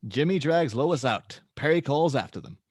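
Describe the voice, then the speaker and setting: A man's voice: a little high, animated, moderate pace, darkish tone, dry recording, clean studio sound. A man reading out stage directions and scene headings as narrator in a script table read.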